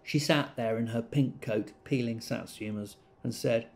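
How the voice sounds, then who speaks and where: An actor, a man, playing a wistful storyteller fondly recalling childhood in a measured, intimate audio drama monologue. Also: medium pitch, vocal fry, darkish tone. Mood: fond, reminiscent.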